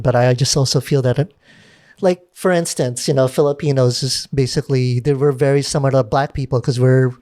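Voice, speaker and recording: A man in casual podcast conversation, chatty and animated, whose pitch climbs when he makes a point, with some casual swearing. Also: no room sound, clean studio sound.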